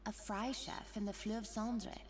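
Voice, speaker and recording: A woman's voice: medium pitch, steady tone, moderate pace, recorded from a distance, soft background music, close to an everyday voice. A woman voicing a loyal, frank kitchen worker in a video game, earnest and plainspoken. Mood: earnest, reproachful.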